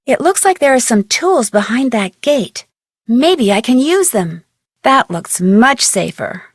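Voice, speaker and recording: A young woman voicing an inquisitive, polite young detective in a video game, speaking scripted lines clearly and earnestly. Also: a little high, very animated, unhurried, no echo, studio quality, heavily acted. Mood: thoughtful.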